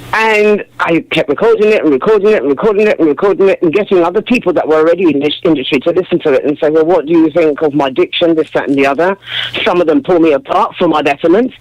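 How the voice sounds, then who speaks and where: Woman, big deep voice, effusive, quick laughs, casual interview guest. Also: dry recording, dull audio. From family from Dominica, West Indies. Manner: warm, effusive, laughs often. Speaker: jazz singer guest.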